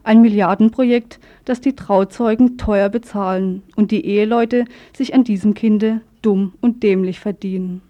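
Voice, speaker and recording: A woman's voice: medium pitch, steady tone, normal speed, few pauses, warm tone, muffled recording. A woman reading scripted radio news and commentary as a presenter, with a pointed, ironic delivery.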